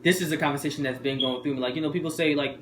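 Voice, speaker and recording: A man's voice: on the high side, steady tone, racing. A young man from Haiti co-hosting a podcast, chatting casually over a card game and rambling with lots of fillers. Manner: rambling, many fillers like "what's it called". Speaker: podcast co-host. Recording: roomy room with faint background noise.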